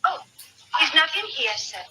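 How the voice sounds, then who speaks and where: An actress playing a polite, deferential housekeeper in an old-time radio drama. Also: female voice, sits high, wildly swooping pitch, normal speed. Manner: polite, servile. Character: deferential female housekeeper announcing visitors. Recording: thin, faintly hissy old radio recording.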